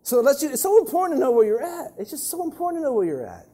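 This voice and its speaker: A man with a Southern American accent telling a humorous travel story in a sermon, animated and chuckling. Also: very high-pitched, very fast.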